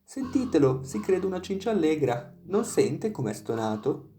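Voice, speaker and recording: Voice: medium pitch, sing-song, unhurried, dark tone, slight background noise. A narrator voicing scornful, mocking small birds in an Italian storybook tale, over music. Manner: jeering, scornful. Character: mocking small songbirds and crows jeering at an outcast.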